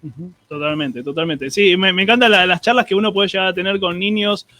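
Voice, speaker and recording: A man's voice: high-pitched, steady tone, a little fast, pushed and bright. A man with an Argentine accent hosting a streamed radio show, chatting and greeting listeners with many restarts and fillers.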